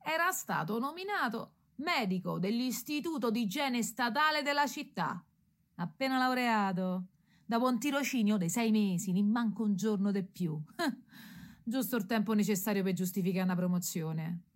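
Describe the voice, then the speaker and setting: A woman's voice: medium pitch, expressive, moderate pace, lightly acted. An actress playing a gossipy, scornful townswoman who narrates a radio drama in earthy colloquial speech. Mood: mocking, gossipy. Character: gossipy, scornful working-class townswoman telling the story.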